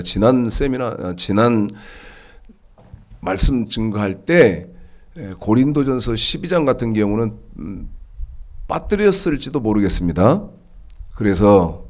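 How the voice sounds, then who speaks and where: Man preaching through a head cold. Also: a little mellow. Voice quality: nasal, stuffy. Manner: rises to an emphatic raised pitch when preaching. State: congested with a cold. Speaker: pastor. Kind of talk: sermon.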